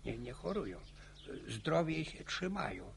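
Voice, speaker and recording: Old grandpa, very gravelly, slow, stammering, boastful, radio play. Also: male voice, on the high side, sing-song, a little hiss or hum, full character voice. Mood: rambling, musing. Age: older. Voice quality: creaky, gravelly. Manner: halting, stammering, repeats words. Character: talkative, boastful old village grandfather in rural Poland. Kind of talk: radio drama acting.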